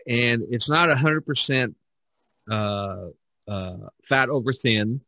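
A man hosting a casual podcast chat, talking in a rambling, halting way with frequent restarts. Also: mid-range voice, animated, pushed and bright, no echo. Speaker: podcast host. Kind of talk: casual chat about painting.

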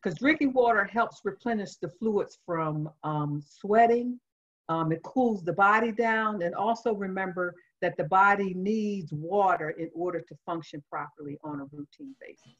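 A woman's voice: medium pitch, animated, normal speed. Woman co-hosting, slightly dull sound. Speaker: nutrition class instructor, co-host. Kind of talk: informal online presentation. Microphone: video call. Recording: slightly dull video-call audio.

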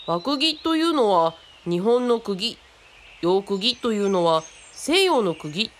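A woman's voice: medium pitch, very animated, slow, slight background noise. A woman playing a friendly, boyish youngster who explains things casually and patiently in a radio drama. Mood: explaining.

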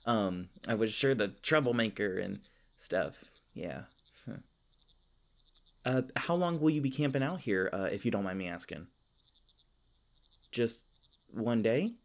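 A man's voice: lowish voice, animated, a little slow, long pauses. A man playing a flustered, skittish young elf park ranger in an audio roleplay, stammering and awkward with goofy folksy exclamations. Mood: awkward, friendly.

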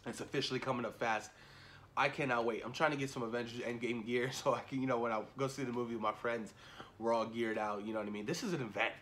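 Hyped guy in his 30s doing a movie reaction, slangy, normal pitch, some room noise. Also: fast. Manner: excited, slangy, repeats phrases for emphasis. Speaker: movie reaction video host. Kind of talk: casual chat to camera, film reaction. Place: small indoor room at home. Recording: noticeable background noise, home camera recording.

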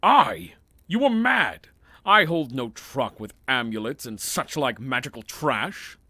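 An actor playing a gruff, scornful barbarian warrior in a fantasy audio drama, blunt and rough-voiced. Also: male voice, mid-range voice, very animated, slow, sharp tone, dry recording, heavily acted.

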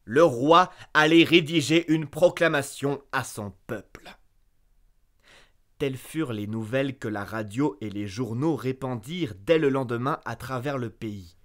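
A man reading a fairy tale aloud as a storyteller, with expressive narration that lifts sharply on key words. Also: mid-range voice, a little slow.

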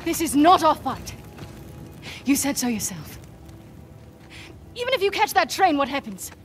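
Curt, hard-nosed mercenary boss, high voice. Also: female voice, sing-song, measured pace, faint music behind. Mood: exasperated. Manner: curt, scornful. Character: tough, hard-nosed woman mercenary leader, curt and angry. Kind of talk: cinematic video game acting.

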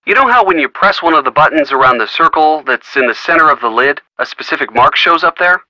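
An upbeat game helper who talks players through puzzles in a breezy, chummy way. His tone is very bright and forward, and the dry, close studio read leaves no room sound. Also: a little high, animated, quick pace, no room sound, lo-fi sound. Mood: helpful. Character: friendly male hint-line helper, upbeat. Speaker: in-game hint giver. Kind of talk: studio video game voice acting.

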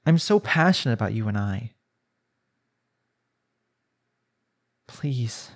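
A man acts out a young husband in a romantic audio drama, with a mid-pitched voice. He is devoted and possessive, and speaks intimately and imploringly to the spouse he adores. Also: animated, moderate pace. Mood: tender, begging. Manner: earnest, pleading, sighing delivery. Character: jealous, lovestruck young husband pleading with his spouse. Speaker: audio roleplay voice actor. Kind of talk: intimate romantic audio roleplay, one-sided dialogue.

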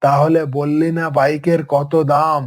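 A man's voice: on the high side, level tone, unhurried, close to an everyday voice. A man voicing an inquisitive, authoritative tiger uncle in a cartoon story. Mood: curious.

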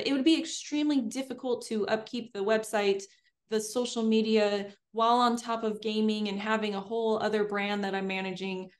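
A young woman answering interview questions in an upbeat, fluent, chatty way, recorded indoors. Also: on the high side. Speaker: guest, homesteader and streamer. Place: indoors in front of a green screen.

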